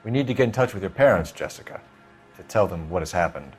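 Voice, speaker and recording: An actor playing an earnest male police detective who questions people in a firm, measured way. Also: low voice, expressive, breathy edge, faint music behind. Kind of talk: video game voice acting.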